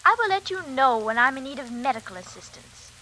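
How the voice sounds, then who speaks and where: A woman's voice: high-pitched, animated, a little fast, pushed and bright, a little hiss or hum, low-quality recording. A woman playing an aloof, hypnotized young girl with affected, formal speech in a 1940s radio suspense drama. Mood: aloof, disdainful. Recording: old 1940s radio broadcast recording.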